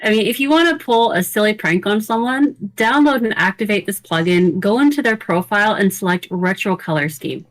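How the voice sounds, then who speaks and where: A woman's voice: medium pitch, lively intonation, brisk, hardly any pauses, low-quality recording. A woman co-hosting a podcast, giving casual, opinionated reviews in an informal conversational way. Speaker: podcast co-host. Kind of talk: casual product review chat.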